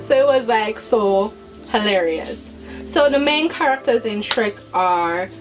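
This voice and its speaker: Hyper, slow-paced young woman vlogger. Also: a little high, poor audio. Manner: hyper, giggly, emphatic repetition of words. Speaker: video channel host. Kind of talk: chatty personal commentary to viewers.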